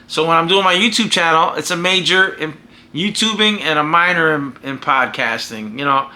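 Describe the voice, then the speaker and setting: An American man sounding off in a vlog, his voice fairly high and strikingly sharp and forceful. He rambles emphatically, starting phrases over again and again. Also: moderate pace. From United States. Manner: emphatic, rambling with frequent restarts. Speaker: vlogger and podcaster. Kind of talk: casual opinionated monologue.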